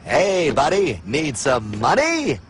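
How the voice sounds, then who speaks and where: A man's voice: fairly high pitch, wildly swooping pitch, takes it slowly, background noise, heavily acted. A man voicing a slick, pushy money lender in a cartoon. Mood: coaxing. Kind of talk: animated cartoon voice acting. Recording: music behind the voice.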